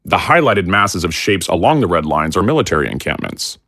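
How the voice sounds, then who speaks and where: An actor playing a hawkish army general who briefs in a formal, measured, ominous way. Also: male voice, sits low, sing-song, pushed and bright, breathy edge, dry recording, clean studio sound, heavily acted. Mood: grave. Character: grave hawkish army general, middle-aged man.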